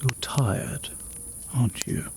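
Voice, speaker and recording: A man playing a gentle, absent-minded mage in a fantasy ASMR roleplay, soft-spoken and unhurried, sometimes muttering. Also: noisy background, lightly acted. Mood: gentle, reassuring. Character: gentle, absent-minded male mage, hospitable forest dream keeper.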